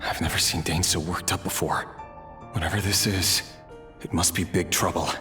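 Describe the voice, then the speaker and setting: A man's voice: low voice, fairly even intonation, moderate pace, pushed and bright. A man voicing a brooding, troubled young man in a video game, in introspective monologue. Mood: uneasy. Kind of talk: dramatic video game voice acting. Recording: music playing behind the voice. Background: game music.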